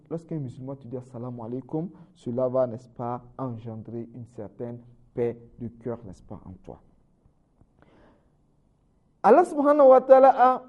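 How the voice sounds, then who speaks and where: A man preaching a sermon in French, constantly adding "n'est-ce pas" and swinging between raised, emphatic phrases and low, trailing endings. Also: darkish tone, lo-fi sound. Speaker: Islamic preacher.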